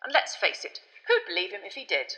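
A woman's voice: a little high, big pitch swings, brisk, bright, forceful tone, big-room sound, faint music behind, voice pushed up, exaggerated voice, low-quality recording. A woman voicing an irritable, pompous male government minister, blustering and defensive, as an audiobook character.

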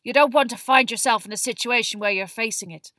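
A woman voicing a gruff old male teacher in a storybook, with a forceful, barking, growling delivery. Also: a little high, quick pace, no echo, studio quality, voice pushed up. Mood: fierce, roaring.

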